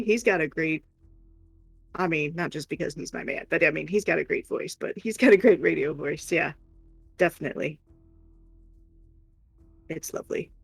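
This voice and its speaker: Female stream co-host: informal and open, gravelly fry, very dry recording. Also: on the low side, no room sound. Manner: candid, rambling, informal. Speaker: livestream co-host. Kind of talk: casual livestream chat.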